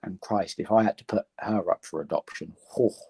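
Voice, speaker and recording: A man in his thirties, from Romania, with an English accent, talking earnestly and thoughtfully as an interview guest, often pausing on "um". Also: low voice, creaky, darkish tone, breathy edge. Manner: earnest, thoughtful, many ums. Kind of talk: reflective conversation.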